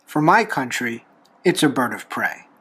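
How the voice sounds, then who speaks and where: A man hosting a drawing tutorial, explaining each step in a folksy, unhurried way with pauses. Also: mid-range voice, big pitch swings, fairly bright tone, slightly rough. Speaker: host of a drawing tutorial show, reverend. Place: talking from behind a camera while drawing.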